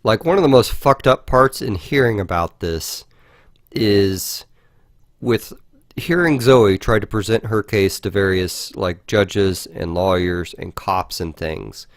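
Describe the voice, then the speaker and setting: Low-voiced man chatting on a podcast. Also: expressive, slow pace, no room sound, clean studio sound. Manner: frequently swearing, informal. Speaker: podcast co-host. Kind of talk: casual podcast discussion.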